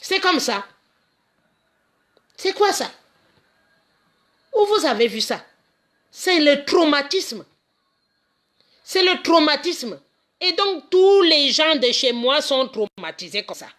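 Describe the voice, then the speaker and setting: A middle-aged woman from Cameroon delivers a passionate, unscripted monologue. She speaks emphatically, circling back and repeating phrases as she restarts her sentences. Her voice sits somewhat higher than most women's. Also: very animated, slow pace, long pauses, fairly bright tone. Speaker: community association founder. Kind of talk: impassioned monologue.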